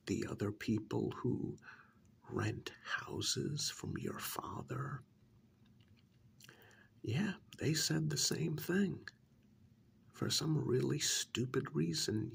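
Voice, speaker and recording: A man playing a coldly patronizing serial killer in a soft-spoken ASMR role-play, breathy and half-whispered, with condescending, deliberate delivery. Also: medium pitch, a little hiss or hum, full character voice. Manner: slow, deliberate, condescending, drawn-out pauses. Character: menacing male serial killer, coldly patronizing to his captive.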